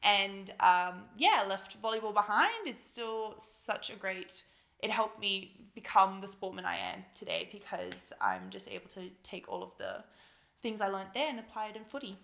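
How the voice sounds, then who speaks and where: A young woman with a Malaysian accent, a newly drafted footballer, chatting excitedly in a casual interview at home, with lots of fillers and giggles. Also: mid-range voice, normal speed. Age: young adult. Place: busy house at home.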